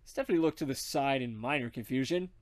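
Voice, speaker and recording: A man's voice: medium pitch, fairly quick. A man narrating a comic story as he reads it aloud. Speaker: narrator, reader.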